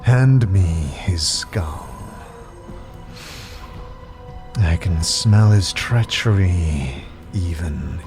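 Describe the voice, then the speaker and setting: An actor playing an imperious, menacing and cunning sorcerer in a fantasy audio drama, with haughty theatrical delivery. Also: male voice, sits low, heavily acted. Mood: cold menace. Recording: music behind the voice.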